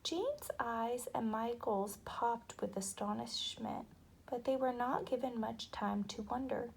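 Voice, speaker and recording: A woman narrating a children's story aloud with expressive, dramatic delivery. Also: fairly high pitch, slow, gravelly, a little hiss or hum, low-quality recording.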